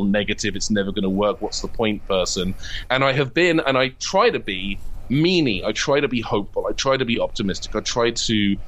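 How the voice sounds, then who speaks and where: A man's voice: fairly low pitch, a bit bright, low-quality recording. Man reflecting in a conversational interview. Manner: reflective, explains at length with repeated false starts. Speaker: guest, comedy writer and voice actor.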